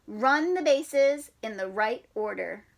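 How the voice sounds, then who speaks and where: A woman's voice: a little high, expressive, takes it slowly, gravelly, heavily acted. A woman voicing a storybook frog dad who coaches T-ball, instructive and easily exasperated, emphatically calling out instructions.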